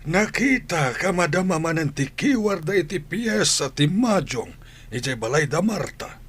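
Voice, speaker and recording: Man acting a worried old Filipino grandfather, animated, husky. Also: fairly high pitch, unhurried, exaggerated voice. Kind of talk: radio drama acting.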